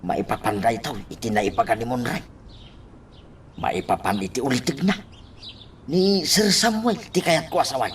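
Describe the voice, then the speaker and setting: An actor playing an inquisitive, excitable village husband in an Ilocano radio drama. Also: male voice, mid-range voice, moderate pace, pauses often, natural voice. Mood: astonished, probing. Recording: faint background noise.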